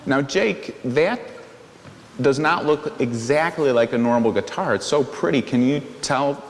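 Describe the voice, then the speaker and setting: A middle-aged man, a minister giving a children's sermon, speaking in short, simple phrases with pauses as he explains things to young listeners. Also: medium pitch. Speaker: minister leading a children's story time. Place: large church sanctuary, seated on steps indoors. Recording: echoey church hall with background noise.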